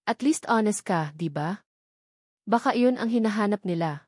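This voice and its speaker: A woman's voice: medium pitch, animated, normal speed, a little mellow, no echo, studio quality, lightly acted. A woman voicing a cheeky, encouraging young female friend, breezy and slangy, in an audio story. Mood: encouraging, teasing.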